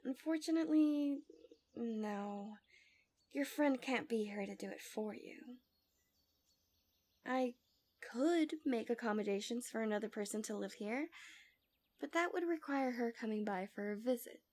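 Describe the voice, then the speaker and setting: A smug, possessive villainess, acted by a woman, in an ASMR fantasy roleplay. Her voice sits fairly high and stays soft and coaxing, often sinking toward a whisper. She words things formally and deliberately, with an insinuating, manipulative edge. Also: lightly acted. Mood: feigned regret. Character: smug, possessive female villain doting on a captive.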